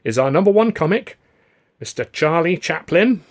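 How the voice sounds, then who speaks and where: A man voicing an affable, wily music-hall company manager who talks politely and briskly. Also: mid-range voice, big pitch swings, gravelly, slightly rough, full character voice. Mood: presenting proudly.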